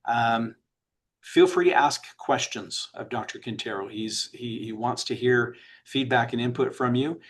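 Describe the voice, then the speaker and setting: A man hosting a webinar, introducing the speaker in an upbeat, chatty way with some fillers, over a computer microphone on a video call. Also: mid-range voice. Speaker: webinar host.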